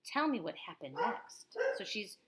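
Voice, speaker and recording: A woman reading a picture book aloud to children expressively, pausing to explain things simply to young listeners. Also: mid-range voice, sing-song, very noisy.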